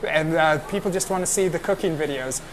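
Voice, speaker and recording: A man's voice: a little high, poor audio. A young American man interviewing a guest on a film set, chatty and joking about himself. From United States. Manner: chatty, self-deprecating, quick to joke. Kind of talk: casual interview chat. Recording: loud background noise on set.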